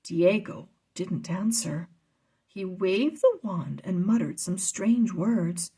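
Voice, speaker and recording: A woman's voice: medium pitch, a little mellow, breathy edge. A woman reading a children's chapter book aloud as narrator. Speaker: storybook reader.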